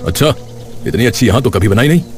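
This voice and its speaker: A man plays a jealous village shopkeeper in an animated moral tale. He grumbles and schemes, swinging widely in pitch. Music plays clearly behind him. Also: fast talker, lightly acted. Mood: bitter, grumbling. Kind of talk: Hindi animated moral story voice acting.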